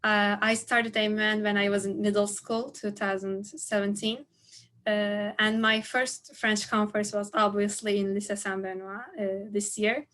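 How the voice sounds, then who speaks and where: Flat-voiced teenage girl, hesitant. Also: medium pitch. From Turkey. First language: Turkish. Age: teens. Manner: hesitant, halting with fillers. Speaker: workshop presenter. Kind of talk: online workshop presentation.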